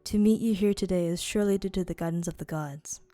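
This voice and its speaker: A woman voicing a devout, courteous desert guardian in an amateur game dub, speaking in a formal, measured, advising manner. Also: lowish voice, dark tone, faint music behind, lightly acted. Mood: courteous, serene.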